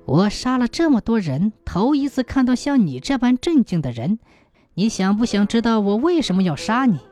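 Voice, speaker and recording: A woman's voice: fairly high pitch, very animated, normal speed, dark tone. A woman storyteller voicing a vengeful, man-hating old nun with an icy, menacing manner.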